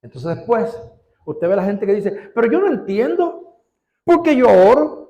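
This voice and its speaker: A man preaching a sermon as pastor, stretching out key words and rising in pitch for emphasis. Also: sing-song, normal speed, mellow, reverberant. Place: church.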